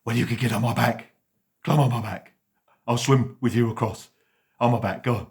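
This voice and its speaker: A man voicing an eager, not very bright male dog who keeps shouting yeah over and over. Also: mid-range voice, fairly even intonation, moderate pace, voice pushed up, natural voice. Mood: urgent. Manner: loud, repeats "yeah" and "walk" over and over.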